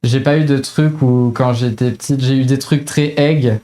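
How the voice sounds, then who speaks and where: Young woman guest, 20s, casual slangy chat full of hesitations, mellow low timbre. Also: fairly even intonation, normal speed. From France. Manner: hesitant, many fillers, youthful slang.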